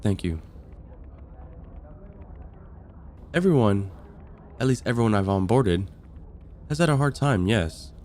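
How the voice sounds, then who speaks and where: A man playing a friendly, patient vampire caseworker in an ASMR roleplay, explaining things calmly with gentle dry wit. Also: fairly low pitch, normal speed, a little hiss or hum, natural voice. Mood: curious. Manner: calm, explanatory, gently wry. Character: friendly, patient vampire caseworker onboarding a newcomer, dryly amused. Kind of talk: ASMR roleplay, conversational acting.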